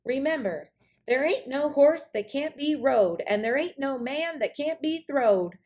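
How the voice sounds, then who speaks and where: A woman voicing a feisty little old Western fairy godmother in a storybook, brisk and bossy, with folksy cowgirl talk. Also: high voice, animated, a little mellow, voice pushed up. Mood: bossy warning.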